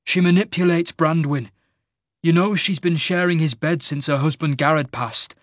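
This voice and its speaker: A man's voice: on the high side, normal speed, dry recording, clean studio sound, voice pushed up, natural voice. A man voicing an earnest young warrior king, an insistent and pleading son in a fantasy audiobook. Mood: quiet resentment. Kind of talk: dramatic fantasy audiobook character.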